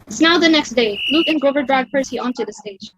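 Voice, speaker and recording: A woman's voice: high voice, a little fast, a bit bright, slightly rough, low-quality recording. A woman reading stage directions as scripted narration.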